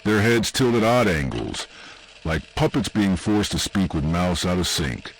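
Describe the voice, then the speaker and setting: A man reading scripted horror narration in an ominous, deliberate way, with short dramatic phrases and pauses. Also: low voice, animated, slight background noise, poor audio. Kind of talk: scripted second-person analog horror narration.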